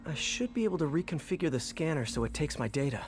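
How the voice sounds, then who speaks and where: Young American superhero for a game: wisecracking, casual, very lively intonation, music underneath. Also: male voice, a little high, fast talker. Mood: focused, curious. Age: young adult. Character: young masked superhero, quippy, eager and curious. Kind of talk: video game voice acting.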